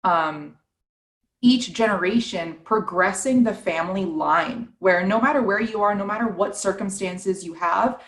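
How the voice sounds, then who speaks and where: A woman's voice: mid-range voice, measured pace. Cheerful new york woman guest, echoey room sound. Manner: bright, cheerful, relaxed storytelling. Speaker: guest, real estate investor. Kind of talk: casual interview.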